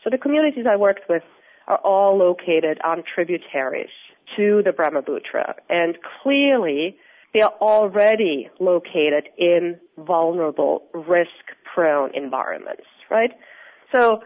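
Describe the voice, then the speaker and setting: A woman from Austria with an Austrian accent, a researcher answering interview questions in an earnest, explanatory, measured way. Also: on the low side, lively intonation. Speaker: researcher and guest. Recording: tinny phone-line audio.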